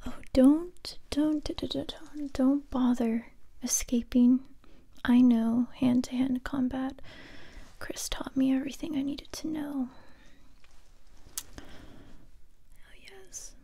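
A young woman playing a tough, determined survivor interrogating a captive in a soft, breathy ASMR whisper, close to the microphone. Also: high voice, fairly even intonation, exaggerated voice. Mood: hushed, menacing. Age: young adult. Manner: slow, deliberate, repeating phrases. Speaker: ASMR roleplay performer.

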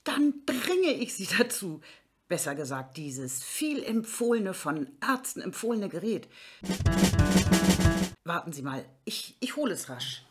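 A woman playing a brisk, eager pharmacist with theatrical sales patter in a short radio play. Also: medium pitch, sing-song, soft background music. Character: brisk, eager female pharmacist selling laughter. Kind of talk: mini radio play dialogue in German.

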